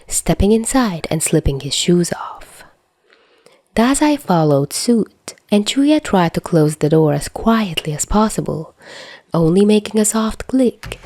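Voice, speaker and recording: A woman reading a scripted story aloud as a podfic narrator, in an expressive manner. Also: on the low side, no echo. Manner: expressive reading with acted dialogue.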